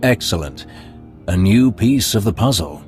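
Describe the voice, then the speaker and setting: A man's voice: on the low side, expressive, unhurried, a little mellow, soft background music. An actor playing a thoughtful, loyal male Imperial lord in a game cutscene. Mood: measured.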